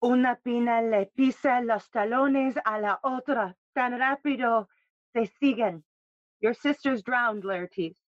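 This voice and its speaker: Woman playing a slow, high-voiced mournful queen. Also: dry recording, lo-fi sound, natural voice. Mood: grave. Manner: slow, poetic, elegiac. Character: mournful, lyrical queen bringing word of death. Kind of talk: bilingual Shakespearean audio play acting.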